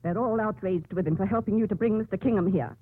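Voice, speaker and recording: An actress playing a stern, authoritative older nun who runs a convent, with commanding, emphatic delivery in a 1950s radio drama. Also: female voice, mid-range voice, fast talker, breathy edge, dry recording, natural voice. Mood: stern, reproving. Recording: muffled narrowband old radio recording.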